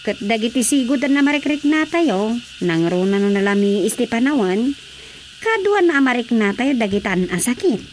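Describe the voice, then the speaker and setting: A woman's voice: a little high, very animated, normal speed. A woman with an Ilocano accent playing a talkative, concerned village neighbour in a radio drama comedy. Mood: opinionated. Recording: faintly noisy radio drama track.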